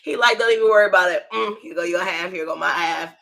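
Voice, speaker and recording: A woman's voice: fairly low pitch, quick pace, vocal fry, bright, forceful tone. An American woman telling a personal story in a casual, slangy way, swearing freely and acting out what people said. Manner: slangy and profane, acts out quoted dialogue, checks in with the listener.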